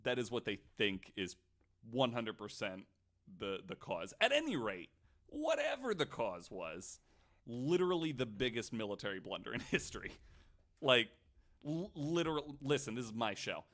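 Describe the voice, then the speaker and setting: A man hosting a casual podcast, retelling stories to his guests in an animated, swearing way. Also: mid-range voice, normal speed. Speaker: podcast host.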